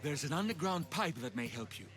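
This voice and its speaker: A man playing a sly, easily indignant bathhouse keeper of ancient Greece in a video game, with a haughty, expressive delivery. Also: on the high side, a little fast, music in the background. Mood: wry. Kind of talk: dramatic video game cutscene acting.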